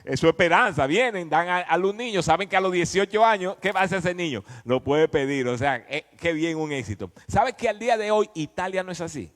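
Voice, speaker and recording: A man's voice: sits high, lively intonation, brisk, few pauses, fairly bright tone. A man preaching in a conversational, emphatic teaching style, often prompting his listeners with questions. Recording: echoey hall with long reverb.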